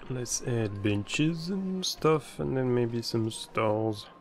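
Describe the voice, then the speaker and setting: Slow, gravelly man doing rambling game commentary. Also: mid-range voice, a little hiss or hum. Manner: rambling, playful, breaks into nonsense sounds. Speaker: let's play creator. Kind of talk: casual gameplay commentary, thinking aloud. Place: at home.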